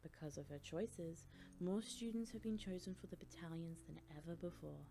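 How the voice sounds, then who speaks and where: Creaky, low-voiced stern female professor played by a woman. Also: over music. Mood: approving. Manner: measured, grave, critical. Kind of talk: audiobook drama acting.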